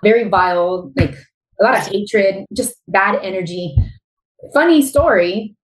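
A woman's voice: medium pitch, a little slow, echoey room, low-quality recording. A woman in her thirties with a Miami accent, a guest telling her story openly in a casual interview. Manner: open, candid storytelling.

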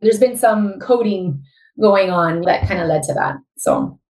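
A woman's voice: mid-range voice, expressive, a little slow, darkish tone, roomy sound. A woman in her thirties with a Miami accent, a guest telling her story openly in a casual interview. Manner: open, candid storytelling.

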